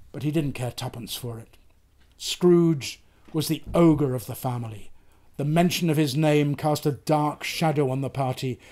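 An older man in his sixties or above giving an expressive, theatrical reading of a literary story. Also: on the high side. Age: 60s or older. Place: small book-lined room, indoors.

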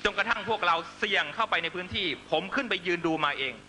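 A man's voice: high voice, quick pace, over music. A man delivering a fiery political speech to supporters, accusatory and emphatic, constantly pressing listeners with rhetorical questions. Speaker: political rally speaker.

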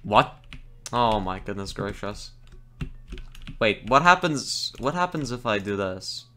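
Excitable young male game streamer. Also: fairly low pitch, slow pace. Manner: excitable, laughs often, groans at fails. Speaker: gaming streamer. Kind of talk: casual live-stream commentary.

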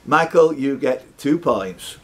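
A man's voice: mid-range voice, lively intonation, slow, fairly bright tone. A man in his fifties hosting a live pub quiz, reading out questions with jokey, teasing banter. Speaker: quiz master. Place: social club bar room.

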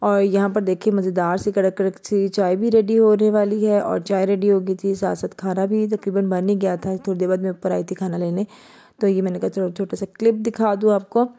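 A woman's voice: medium pitch, level tone, a little fast, mellow. A young woman from Pakistan, chatting casually as she narrates her own vlog. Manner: chatty, conversational, laughs while talking. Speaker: vlogger.

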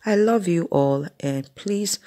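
A woman's voice: lowish voice, takes it slowly, dark tone, dry recording. A woman in her thirties preaching casually to camera from a small room, earnest and exhorting, with many "you know" fillers.

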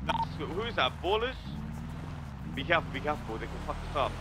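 A man's voice: a little high, big pitch swings, quick pace. A man making short callouts to teammates during an online game. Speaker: gamer in online roleplay. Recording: very noisy game chat, engine noise.

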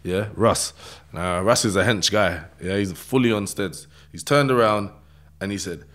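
Male podcast guest, London accent, low voice, street slang, casual first-person storyteller who keeps checking the listener follows. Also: a little slow, fairly bright tone. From London, England. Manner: streetwise slang, repeated check-in tags like "yeah?" and "innit". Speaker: podcast guest telling his life story.

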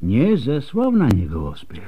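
An actor playing a weary, bitter, bluntly honest doctor in a radio drama, speaking deliberately with sighs. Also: male voice, medium pitch, wildly swooping pitch, breathy edge, lo-fi sound, heavily acted. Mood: bitter, helpless.

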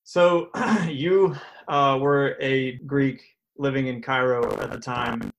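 A man's voice: mid-range voice, fairly even intonation, slow, fairly bright tone, slight background noise, low-quality recording. Casual young male podcast host. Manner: easygoing, filler-heavy questions. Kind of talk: casual interview.

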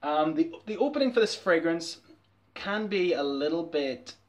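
A man's voice: on the high side, expressive, moderate pace. Chatty man reviewing a fragrance. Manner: chatty, candid, laughs often. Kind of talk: casual review vlog. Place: small dim bedroom, indoors.

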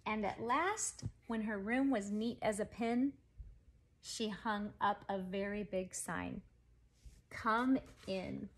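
A woman reads a picture book aloud to children in an animated, emphatic way. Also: mid-range voice, slow, slight background noise. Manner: animated, emphatic phrasing for young listeners. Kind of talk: expressive children's story read-aloud. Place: living room at home.

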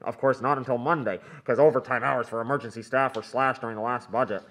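An actor playing an officious, evasive government health official in a comic radio drama, with a pompous bureaucratic manner. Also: male voice, mid-range voice, fairly even intonation, fast, a bit bright, lightly acted. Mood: evasive, formal.

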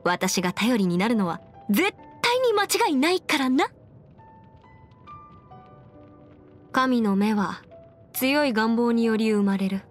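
High-pitched, self-assured young legal advisor. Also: female voice, big pitch swings, measured pace. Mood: proud, confident. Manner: self-assured, articulate, slightly boastful. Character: confident, clever young female legal advisor, proud and playful. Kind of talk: Japanese anime game character voice lines. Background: music.

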